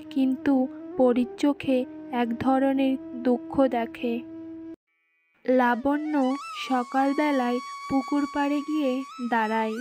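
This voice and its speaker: A woman narrates a scripted fairy tale. Her voice is high and her delivery slow and measured, with music clearly playing underneath.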